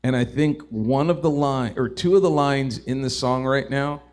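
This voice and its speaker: A man who is a singer-songwriter, talking casually and haltingly between songs. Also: medium pitch. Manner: hesitant, halting delivery. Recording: loud acoustic guitar behind. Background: guitar playing.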